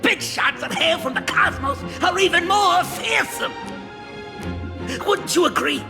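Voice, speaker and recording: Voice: very high-pitched, lively intonation, measured pace, big-room sound, music in the background, full character voice. An actor voicing a boastful, sly and jovial aging gang boss in a video game, in a theatrical manner. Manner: theatrical, chuckling, swinging between mutters and exclamations.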